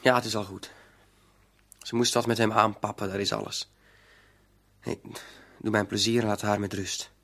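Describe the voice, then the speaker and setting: An actor playing an emotional, gullible lover who is cornered in a police interrogation in a radio play. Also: male voice, on the low side, level tone, moderate pace, long pauses, a bit bright. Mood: resigned. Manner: defensive, emotional.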